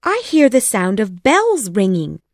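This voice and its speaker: A woman voicing a polite, excitable young girl in a storybook reading. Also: mid-range voice, unhurried, no echo, studio quality. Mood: eager.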